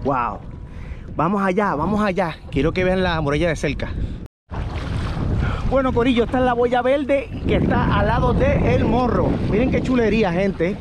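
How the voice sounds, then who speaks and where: Puerto Rican man in his 40s, high and eager, action-cam vlog from a kayak on the bay. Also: moderate pace, very noisy. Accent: Puerto Rican Spanish. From Puerto Rico. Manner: excited, cheering, speaks to viewers. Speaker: kayaking vlogger. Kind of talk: upbeat travel vlog narration. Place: outdoors on a kayak on open bay water. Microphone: action camera on the kayak, sometimes held at arm's length.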